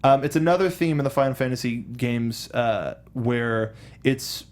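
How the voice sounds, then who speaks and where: A man hosting a film discussion show, chatting casually in a halting, jokey way. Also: medium pitch. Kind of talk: casual panel chat about movies.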